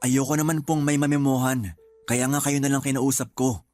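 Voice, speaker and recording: A man's voice: medium pitch, level tone, normal speed, a little mellow, dry recording, lightly acted. An actor playing an earnest young male employee and loyal boyfriend in a Filipino radio drama, polite but firm. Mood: firm, aggrieved.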